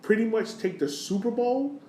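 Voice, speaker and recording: Higher-voiced man, echoey podcast recording. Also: normal speed, dark tone. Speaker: podcast host. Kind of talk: casual sports discussion. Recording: roomy echo, background noise.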